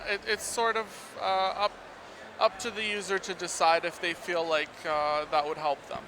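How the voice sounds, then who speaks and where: Hesitant high-voiced man, noisy hall. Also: little pitch movement, normal speed. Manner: hesitant, filler-heavy, soft-spoken. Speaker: gecko breeder and vendor. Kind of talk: casual interview answers. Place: busy reptile expo hall.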